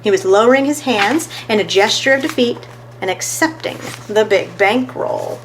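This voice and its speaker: Woman storyteller, mid-pitched, faint noise. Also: animated, normal speed, rough recording. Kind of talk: scripted children's story narration.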